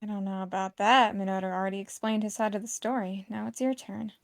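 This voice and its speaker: A woman's voice: mid-range voice, steady tone, fast talker, gravelly, no room sound, clean studio sound, pitched below the performer's own voice, natural voice. A woman voicing an earnest, stubborn, easily flustered young heroine of a dating sim, speaking emphatically.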